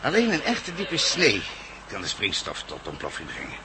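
An actor playing a firm, businesslike police commissioner in a radio drama, with an official, deliberate manner. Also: male voice, lowish voice, wildly swooping pitch. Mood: explaining. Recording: noisy old radio drama recording.

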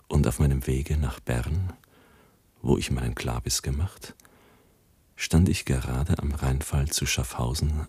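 An actor playing a grave man who recounts his friend's end in measured, solemn radio play narration. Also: a bass voice, a little mellow, breathy edge, dry recording, heavily acted.

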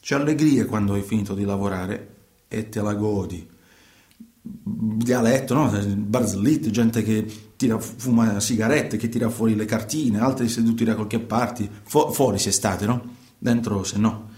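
An actor playing a troubled working fisherman in a colloquial, halting radio monologue, repeating himself. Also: on the low side, dark tone, echoey room, close to an everyday voice. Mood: somber, subdued. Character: troubled working fisherman recounting life at sea. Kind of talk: theatrical radio monologue in conversational storytelling.

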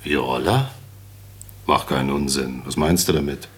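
An actor playing a staid, courteous, sceptical young student lodger in a German radio play, measured and slightly bemused. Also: male voice, extremely low pitch.

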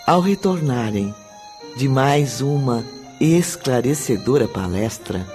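A man's voice: mid-range voice, expressive, takes it slowly, a little mellow. A man narrating a radio drama from a script in a solemn, poetic delivery. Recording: prominent music under the voice.

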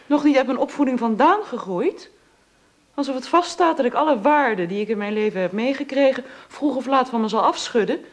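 A woman's voice: high voice, expressive, fairly quick. An actress playing a proud, principled and prickly young woman in a radio drama, curt and indignant in manner. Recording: dull, band-limited radio drama sound.

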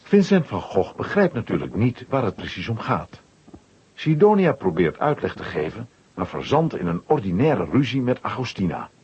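A man with a husky voice narrating a children's audio story from a script. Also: on the low side, very animated, moderate pace, a little mellow, muffled recording.

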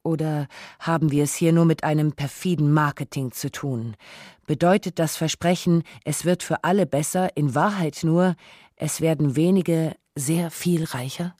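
Mellow, close-up documentary narrator. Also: mid-range voice, no room sound. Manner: measured, essayistic, rhetorical questions.

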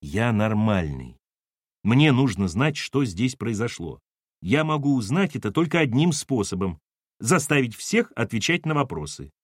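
A man's voice: mid-range voice, very animated, a little slow, no echo. A man voicing a dogged husband in an audiobook, questioning people bluntly with dry irony. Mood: stubborn. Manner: blunt, insistent, dryly ironic.

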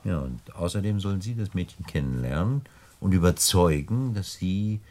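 An actor playing a suave, scheming crook in a 1960 German radio play, unctuous and patronisingly genial, with deliberate hesitations. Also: male voice, low voice, lively intonation, a little mellow. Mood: insinuating. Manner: unctuous, chuckling, hesitant pauses, falsely genial.

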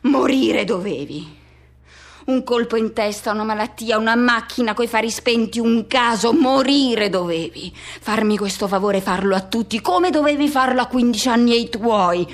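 A woman's voice: on the high side, normal speed, lightly acted. A woman performing a radio theatre monologue as an embittered, seething man haunted by a lost love, with intense, incantatory delivery. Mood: seething, tense. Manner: intense, incantatory repetition, swinging from hushed to shouting.

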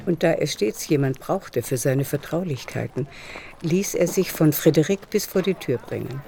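A man narrating a literary radio play in a measured, deliberate manner. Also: fairly high pitch, expressive, vocal fry, over music.

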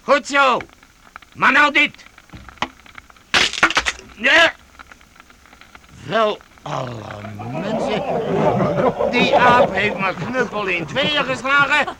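A man acting in a Dutch radio play as a rough, jovial leader of a medieval vagrant band, bossy and halting. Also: extremely high pitch, big pitch swings, very noisy, exaggerated voice. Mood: boisterous, impressed. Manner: hearty, bossy, halting with interjections.

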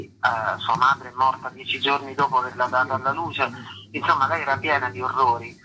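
A woman's voice: rumbling low, pushed and bright, slight background noise, rough recording. A woman speaking enthusiastically as a theatre guest in a radio interview.

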